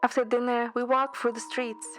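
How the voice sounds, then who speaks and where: A woman's voice: fairly high pitch, level tone, normal speed. A woman reading scripted first-person story narration as an amateur narrator. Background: background music.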